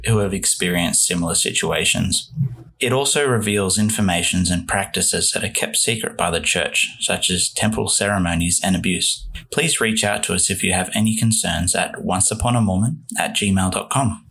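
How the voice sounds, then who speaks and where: A man's voice: sits low, a bit bright, slight background noise. A young man co-hosting a casual podcast, explaining at length with fillers and restarts. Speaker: podcast co-host.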